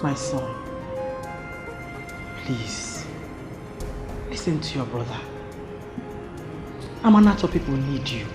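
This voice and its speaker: An actor playing a royal parent gently begging the sons to listen. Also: mid-range voice, very animated, echoey room, music in the background. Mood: pleading. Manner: slow, gentle pleading.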